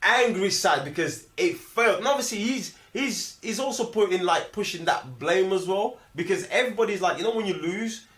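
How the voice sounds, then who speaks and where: A man's voice: sits high, lively intonation, normal speed, hardly any pauses, fairly bright tone. A man with a London accent casually debating films in slang, emphatic and repetitive as he argues his point. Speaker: film review host. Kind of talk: animated casual film discussion, heavy slang. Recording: echoey room.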